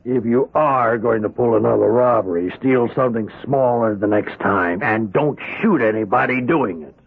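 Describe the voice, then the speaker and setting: A man's voice: medium pitch, moderate pace, creaky, slightly rough. An actor playing a paternal but stern prison warden in a radio drama, hearty and impatient in his lecturing. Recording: muffled, tinny old radio broadcast.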